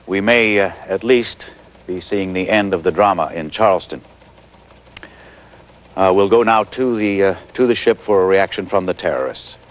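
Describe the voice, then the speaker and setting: An actor playing a measured, hesitant male news anchor covering a crisis live in a 1980s TV drama. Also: deep voice, natural voice. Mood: composed. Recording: hissy 1980s TV broadcast audio.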